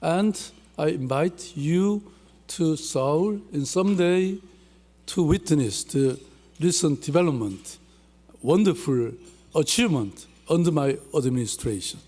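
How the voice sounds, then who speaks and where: A man delivering a short, formal congratulatory address, with deliberate, slightly halting phrasing. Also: on the high side, expressive, warm tone, long reverb tail. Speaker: head of government addressing the event.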